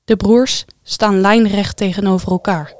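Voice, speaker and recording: A woman's voice: mid-range voice, steady tone, a little slow, a little mellow, no room sound, studio quality. A woman delivering dramatic scripted narration as the storyteller of a radio play. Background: music.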